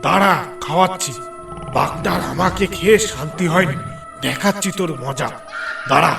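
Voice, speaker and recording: A man's voice: high voice, moderate pace, vocal fry, distant mic. A man playing a rustic village husband in a horror cartoon, recorded with music behind him. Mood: alarmed, menacing. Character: rustic village husband fetching his cow, later a ghost. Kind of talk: Bengali horror cartoon voice acting.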